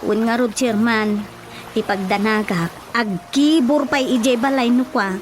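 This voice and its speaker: A woman's voice: fairly high pitch, unhurried, noisy background, close to an everyday voice. A woman playing a polite, troubled villager who defers to her elders in a radio drama, with an Ilocano accent. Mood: worried. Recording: radio drama with loud music bed.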